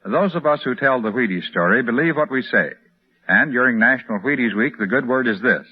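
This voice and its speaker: An American man giving a plain-spoken, earnest pitch in an old-time radio commercial. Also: expressive, fairly quick, husky. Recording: muffled vintage radio broadcast.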